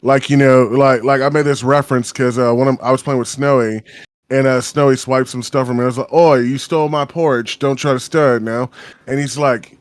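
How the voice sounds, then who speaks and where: A man's voice: medium pitch, steady tone, a little fast. A man chatting on a podcast in a small home studio, loose and rambling, dropping curses and fillers as he goes. His voice sits in a middle register and the sound is dry and close, with no room echo. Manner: rambling, profane, lots of fillers. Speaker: podcast co-host. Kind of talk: casual podcast chat.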